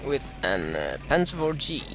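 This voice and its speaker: A man from Sweden with a Swedish accent and a dry throat, casting his own strategy game match in a halting way, full of "uh"s and self-corrections. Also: mid-range voice, wildly swooping pitch, over music. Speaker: gameplay commentator.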